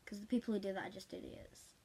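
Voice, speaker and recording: A woman chatting casually as she tells a story in an animated, giggly way. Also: mid-range voice, fast, slight background noise. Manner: animated, giggly, acts out quotes. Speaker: vlogger telling a story.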